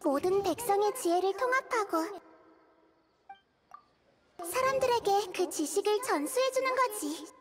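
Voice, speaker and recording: A woman playing a young, girlish goddess in a video game, gentle and wise, questioning like a playful teacher. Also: very high-pitched, lively intonation, unhurried, pauses often, background noise. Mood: calmly probing. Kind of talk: video game character dialogue. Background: game music.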